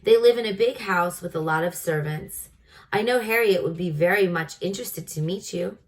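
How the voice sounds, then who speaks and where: A woman's voice: on the low side, moderate pace, natural voice. A woman voicing a friendly, talkative male storekeeper in a storybook, making folksy small talk. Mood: thoughtful, gossipy.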